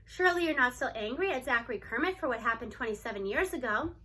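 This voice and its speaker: A sympathetic woman principal from a children's novel, read by a woman narrator. She reasons earnestly and persuasively, quick to defend. Her voice is high with a bright edge. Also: expressive, breathy edge, exaggerated voice. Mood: earnest defense.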